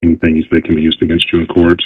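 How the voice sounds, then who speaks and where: A man federal agent talks fast in a calm, professional, procedural manner. His voice is low and husky. The interview recording is muffled and lo-fi. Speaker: FBI agent. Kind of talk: formal interrogation.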